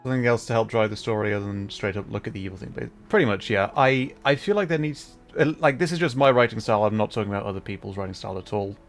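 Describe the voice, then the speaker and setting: A man's voice: lowish voice, fast, hardly any pauses. Man chatting casually over game music. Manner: hesitant, thinking aloud, rambling explanations. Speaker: streamer. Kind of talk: casual livestream chat while gaming.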